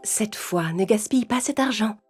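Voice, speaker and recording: A woman doing a soft-spoken good fairy. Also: mid-range voice, moderate pace, lightly acted. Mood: gentle. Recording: music playing underneath.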